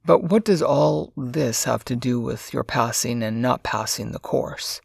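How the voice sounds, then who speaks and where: A woman doing a flustered, gravelly male professor. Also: very deep voice, a little mellow, no room sound, clean studio sound, exaggerated voice. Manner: halting, hesitant, awkward. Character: flustered, stammering male college professor, easily embarrassed.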